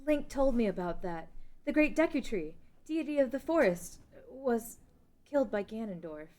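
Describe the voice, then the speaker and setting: A woman's voice: on the high side, big pitch swings, normal speed, a little mellow. A woman playing a stubborn, brave young princess in a fantasy table read. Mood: earnest.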